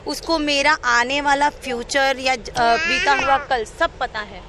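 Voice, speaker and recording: A woman's voice: high-pitched, fairly even intonation, slow pace, bright, forceful tone, some chatter behind, slight background noise. A woman giving an unscripted, earnest and detailed personal account as an interviewee. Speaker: interviewee telling her own account.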